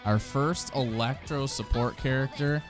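A man's voice: medium pitch, a little slow. A man in his thirties giving enthusiastic, slangy reaction commentary, casual, close on a desk microphone at home. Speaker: streamer and reaction host. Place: small home gaming room. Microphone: close desk microphone on boom arm. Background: music.

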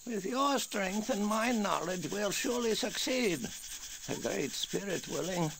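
A man's voice: high-pitched, animated, unhurried, gravelly, heavily acted. A man playing a solemn, declamatory village elder in a children's storybook game. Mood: grave, resolute. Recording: faint rainforest insect noise.

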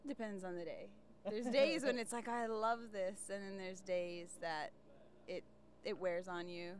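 A woman's voice: medium pitch, a little slow, slight background noise. A young woman from Finland and Africa answering interview questions casually with light amusement. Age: young adult. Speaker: mission worker. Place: indoors at a mission house.

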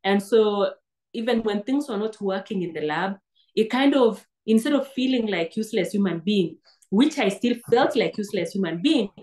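A woman's voice: medium pitch, expressive, moderate pace, darkish tone, poor audio. A woman in her thirties with a Kenyan accent, a guest talking earnestly over a video call and often restarting words. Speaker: guest, PhD graduate. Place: indoor room, seated on sofa. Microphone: laptop webcam on video call.

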